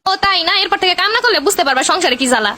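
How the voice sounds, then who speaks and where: Woman voicing a shrill, rapid-fire Bangladeshi cartoon housewife. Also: lively intonation, bright, forceful tone, full character voice. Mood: angry nagging. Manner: scolding, argumentative. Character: nagging, demanding, sharp-tongued young housewife. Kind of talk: comedy cartoon dialogue.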